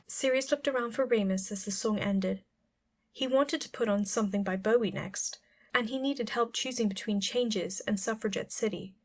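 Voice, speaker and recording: A woman's voice: medium pitch, moderate pace, soft background music. A woman narrating a story as an audiobook reader, in scripted prose.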